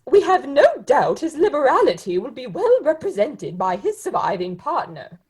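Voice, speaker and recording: Woman as prim charity collector, formal, high, breathy, radio play. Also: big pitch swings, normal speed, darkish tone, full character voice.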